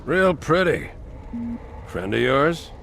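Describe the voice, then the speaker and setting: An actor playing a brusque military captain with clipped, commanding authority in a video game cutscene. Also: male voice, fairly high pitch, sing-song, slow pace, vocal fry, fairly bright tone, slight background noise, full character voice. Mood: curt, dry.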